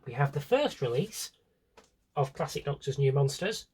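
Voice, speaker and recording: A man's voice: medium pitch, very animated, moderate pace, a little mellow, slightly rough. A British man chatting casually through a vlog-style review, wry and opinionated, with rambling asides. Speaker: vlogger showing a collection.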